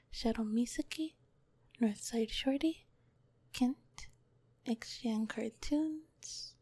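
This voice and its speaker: A woman ASMR performer gives soft-spoken thanks to her supporters. Her voice is breathy and often drops into a whisper, sitting fairly high in pitch. The recording is dry and intimate. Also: no room sound.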